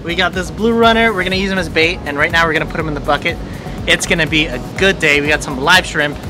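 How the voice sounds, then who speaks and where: Upbeat guy fishing off a pier, a bit high voice, talking to his camera, music under it. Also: fast. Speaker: fishing vlogger. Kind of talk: casual vlog narration. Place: outdoors on an ocean pier. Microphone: action camera at arm's length.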